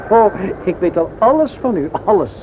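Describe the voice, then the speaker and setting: Hesitant, eager male suitor, fairly high voice, noisy old radio-play sound. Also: sing-song, phone-quality sound. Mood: insistent. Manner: halting, hesitant. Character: hesitant but eager suitor proposing an outing.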